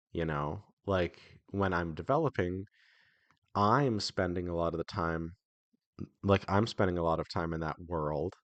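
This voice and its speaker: A man's voice: deep voice, dry recording. A man talking casually as the host of an indie developer devlog, in a hesitant, conversational way that often doubles back on itself.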